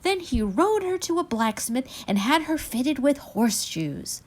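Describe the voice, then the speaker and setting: A woman reading spooky folk tales aloud as a story time reader, with dramatic, deliberate delivery. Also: high-pitched, sing-song.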